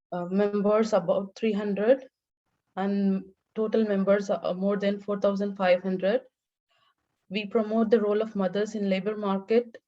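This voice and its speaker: A woman's voice: medium pitch, warm tone. Woman co-host on dull call audio. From India. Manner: measured, with frequent hesitations. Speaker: webinar co-host. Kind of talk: reading organisation introduction. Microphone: webinar video call.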